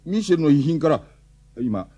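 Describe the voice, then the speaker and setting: A man playing an excitable, defensive, hapless middle-aged father in a Japanese radio drama. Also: fairly high pitch, moderate pace, slightly rough. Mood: resigned, bitter.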